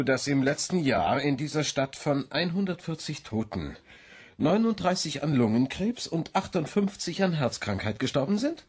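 A man's voice: on the high side, a little mellow, breathy edge, exaggerated voice. An actor playing an earnest, gullible young man in a radio play, nervous and somewhat pedantic.

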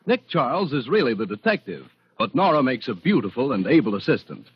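A man's voice: medium pitch, sing-song, fairly quick, husky. A man announcing a radio promo in a 1940s broadcast style. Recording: dull, muffled old radio broadcast.

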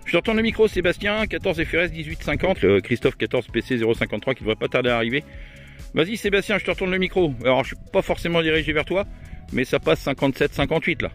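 A man's voice: on the high side, lively intonation, fairly bright tone, faint music behind. A man working a ham radio outdoors on a hilltop, calling contacts, reading out call signs and handing the mic back. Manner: brisk, repeats call signs, hands over the mic. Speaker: ham radio operator filming his contact session. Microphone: handheld radio on a directional antenna.

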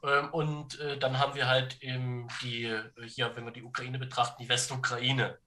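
A man speaking as a podcast guest, explaining history and politics conversationally in a halting way, searching for words and checking in as he goes. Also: mid-range voice, flat delivery, sharp tone, slight background noise.

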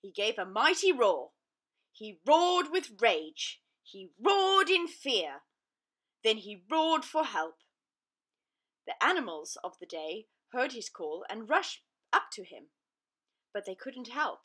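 A woman with a fairly high, bright voice reads a children's story aloud. Her delivery is expressive and deliberate, with lively rises and falls. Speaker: storybook narrator.

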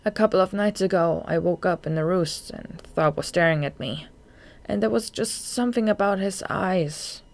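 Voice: medium pitch, normal speed, gravelly, voice pushed up, natural voice. A storybook narrator voicing a frightened, guilt-ridden young male bat with urgent, emotional outbursts. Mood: shaken.

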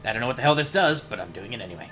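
A man's voice: mid-range voice, animated, racing. A man giving casual, playful and sweary commentary as he plays a video game with friends. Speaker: player and voice actor in a group game playthrough. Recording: music behind the voice.

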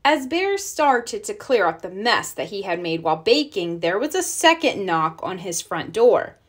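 A woman narrating a children's storybook aloud, expressively, with deliberate storytelling emphasis. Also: mid-range voice, big pitch swings, husky. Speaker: storybook reader.

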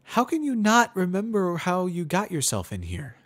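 A man's voice: sits high, wildly swooping pitch, normal speed, fairly bright tone, voice pushed up, exaggerated voice. A man voicing a polite, earnest young female pony student with a prim, questioning manner in a dramatic story reading. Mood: strained. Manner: prim, questioning, forced friendliness. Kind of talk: dramatic reading of fan fiction.

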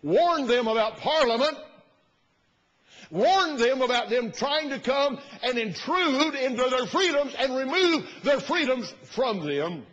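Male pastor, 60s, husky, emphatic pulpit oratory, muffled recording in a reverberant sanctuary. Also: animated, measured pace. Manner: emphatic, oratorical, rising to loud bursts and dropping low. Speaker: pastor preaching. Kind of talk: fiery sermon. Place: large church sanctuary, at the pulpit platform.

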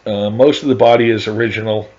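A middle-aged man narrating his own restoration project over photos in a casual, halting way, with many fillers and restarts. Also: lowish voice, fairly even intonation, darkish tone. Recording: dull lo-fi voice-over.

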